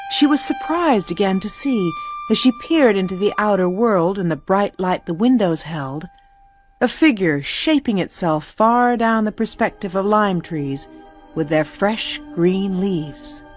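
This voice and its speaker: A woman narrator reading a literary ghost story in a measured, formal storytelling manner. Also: medium pitch, expressive. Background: faint music.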